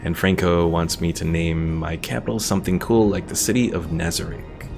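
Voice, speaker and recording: A man's voice: deep voice, steady tone, normal speed, gravelly, over music. A man giving casual, easygoing live game commentary, thinking aloud and reading out chat as a streamer.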